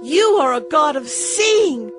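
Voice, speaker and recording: A woman's voice: high voice, sing-song, slow, over music, heavily acted. A woman playing a vulnerable, devout runaway servant in a dramatized scripture reading. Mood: awed.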